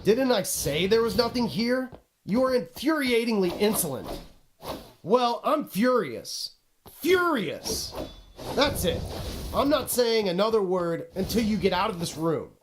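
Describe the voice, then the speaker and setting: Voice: medium pitch, sing-song, unhurried, background noise. An actor playing a pompous, exasperated video game narrator who scolds the player in a dramatic, haughty, petulant way. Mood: furious outburst. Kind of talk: theatrical scripted game narration.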